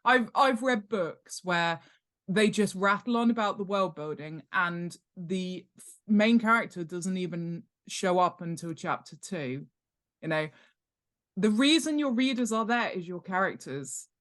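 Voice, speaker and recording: A woman's voice: mid-range voice, animated, unhurried, a bit bright. A woman chatting casually as a guest expert in an interview, in a chatty, jokey and emphatic way. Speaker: guest author and world-building expert. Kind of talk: casual podcast interview chat.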